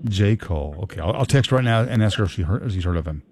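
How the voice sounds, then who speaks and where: A man's voice: lowish voice, expressive, quick pace, darkish tone. A man in his late fifties hosting a call-in radio show, teasing callers and rambling with many restarts. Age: 50s. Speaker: radio talk show host. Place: radio studio. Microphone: studio microphone.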